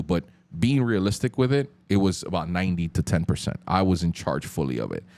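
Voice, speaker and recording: Miami guy in his 30s, cuban-ish accent, real low voice, chill slangy podcast talk. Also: a little mellow. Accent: Miami Cuban-influenced English. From Miami, USA. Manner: loose, slangy, lots of fillers. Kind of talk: casual podcast chat.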